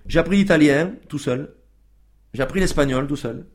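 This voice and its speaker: A man's voice: a little high, normal speed. A man as a guest on a radio show, talking casually with many fillers and restarts.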